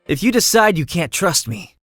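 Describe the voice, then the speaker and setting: Eager, high-voiced young nobleman. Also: big pitch swings, moderate pace, fairly bright tone, no room sound, studio quality, full character voice. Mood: friendly, persuasive. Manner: talkative, breezy, theatrical. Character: eager, chatty young nobleman with earnest hero's idealism. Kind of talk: video game voice acting.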